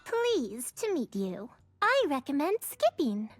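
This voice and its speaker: Squeaky, giddy little yordle sorceress. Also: female voice, sing-song, slow pace, no room sound, faint music behind, heavily acted. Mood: dreamy, whimsical. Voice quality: squeaky, childlike. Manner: playful, sing-song, exclaiming nonsense words. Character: tiny carefree female fairy-loving yordle sorceress, whimsical and giddy. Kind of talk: video game character voice lines.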